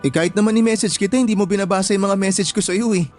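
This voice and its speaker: A man's voice: sits high, steady tone, very fast, a little mellow, faint music behind, natural voice. A man acting a desperate jilted boyfriend in a radio drama, pleading and insistent. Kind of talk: emotional radio drama dialogue.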